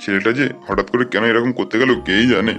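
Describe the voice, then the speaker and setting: Man acting a fast-talking, worried older father. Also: on the low side, fairly even intonation, fairly bright tone, soft background music, lightly acted. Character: worried older village father of missing son. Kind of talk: cartoon dialogue.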